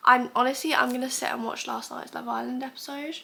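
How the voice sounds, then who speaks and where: A woman's voice: high voice, steady tone, fairly quick, creaky, bright, forceful tone. A young woman chatting casually in a reading vlog, stuffy with a cold and rambling as she thinks aloud. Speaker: book vlogger.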